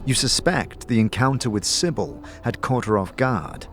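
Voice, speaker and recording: A man giving measured, descriptive, dramatic narration as the storyteller of a scripted fantasy audio drama. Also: mid-range voice, lively intonation, soft background music. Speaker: narrator and game master.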